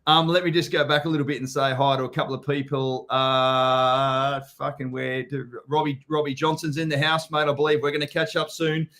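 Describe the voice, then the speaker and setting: This is an Australian man hosting a live property chat. His pitch sits in an ordinary middle range, and he talks in a loose, blunt, blokey way, swearing freely and calling people mate. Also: level tone, fairly quick, hardly any pauses, a bit bright. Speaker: host. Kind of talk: casual live-stream chat.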